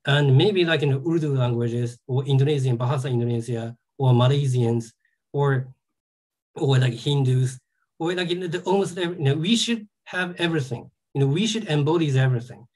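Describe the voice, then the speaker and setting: A man, a native Japanese speaker with a Japanese accent, giving a seminar talk as an academic. He speaks haltingly, with many "you know" and "like" fillers. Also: medium pitch, a little mellow, rough recording. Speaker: academic lecturer.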